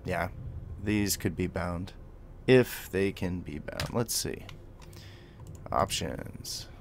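Male tutorial host, low register, casual explainer with clipped commands, slight background ambience. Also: takes it slowly, slight background noise. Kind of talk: gameplay tutorial commentary.

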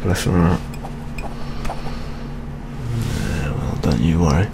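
A young man playing a jaded, world-weary British police detective in an ASMR roleplay, speaking in a hushed, deliberate manner. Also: deep voice, gravelly. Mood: grim, resolved. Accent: British English. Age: 20s. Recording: steady rain ambience noise. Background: rain sounds.

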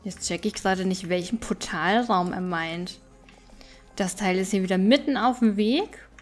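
A woman's voice: mid-range voice, moderate pace, soft background music. A woman giving casual let's play commentary, chatty and thinking aloud as she goes. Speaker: gaming streamer and let's play host.

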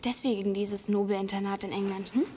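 A woman's voice: mid-range voice, fairly even intonation, a little fast, mellow, natural voice. A woman playing a frank, sympathetic teenage girl in a radio play, talking casually. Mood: concerned, teasing. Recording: clear noise behind the voice.

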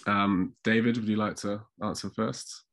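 A man's voice: on the low side, steady tone, normal speed, a bit bright. A man bunged up with a cold, sounding nasal as he chairs an online panel discussion over a video call. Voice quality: nasal, congested. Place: indoors at home on a video call. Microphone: video call microphone.

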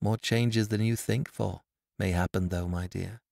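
A man voicing an excitable, warm-hearted young wife in a Victorian storybook, breathless and exclaiming. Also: on the low side, unhurried, gravelly, no room sound, studio quality, voice pushed down. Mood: gentle, nervous.

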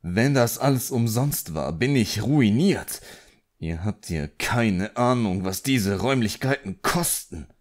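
A man's voice: fairly low pitch, animated, measured pace. Man playing a seething, arrogant male wizard. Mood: seething anger.

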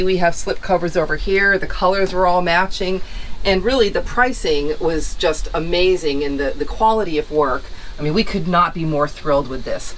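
A middle-aged woman with a slightly low voice gives an eager, chatty tour inside a small sailboat cabin. She sounds pleased and talks casually to the camera, with a faint hiss in the background. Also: poor audio. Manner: chatty and enthusiastic, gesturing while showing the boat. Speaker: sailing vlogger. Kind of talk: casual show-and-tell.

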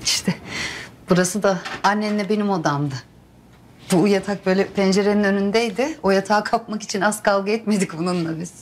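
A middle-aged actress playing a bustling, hospitable island aunt with chatty, homely talk in a TV drama. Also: female voice, medium pitch, brisk, a little hiss or hum, close to an everyday voice. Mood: wistful, gentle. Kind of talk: naturalistic TV drama acting. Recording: music under the dialogue.

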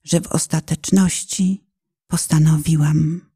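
A woman narrating a scripted first-person testimony in a measured, solemn, literary and dramatic manner. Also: deep voice, steady tone, dark tone, slightly rough.

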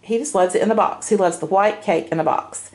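A woman's voice: mid-range voice, fairly quick. An older woman chatting casually and explaining things as a homemaker vlogger, recorded at home behind a handheld camera. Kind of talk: casual grocery haul chat. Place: indoors at home, by a dining table. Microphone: handheld camera, speaker behind it.

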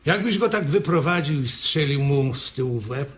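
A man acts a callous ranch hand in a radio drama. He is blunt and insistent, with a fairly high voice and very lively intonation. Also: moderate pace, slight background noise, close to an everyday voice.

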